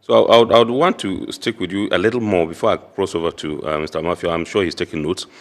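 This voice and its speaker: A male interview host, asking measured, probing questions and pushing back on his guest. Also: low-pitched, steady tone. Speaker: show host. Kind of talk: studio interview.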